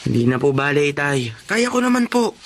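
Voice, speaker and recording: A man's voice: on the high side, very animated, a little fast, slight background noise. A man playing a polite, hardworking young student janitor, meek and earnest, in a radio drama.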